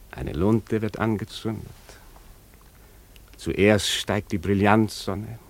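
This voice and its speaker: An actor playing a courteous, wistful exiled prince in a radio play, speaking formally and musingly. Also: male voice, fairly low pitch. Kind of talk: German radio play acting.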